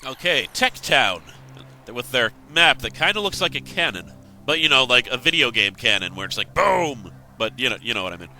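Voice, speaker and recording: A man's voice: medium pitch, sing-song, moderate pace, bright, forceful tone, soft background music. A young man with a congested voice, casually rambling through a game news roundup with self-corrections and joking asides. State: congested, just woke up. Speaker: host giving game news. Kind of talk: casual commentary.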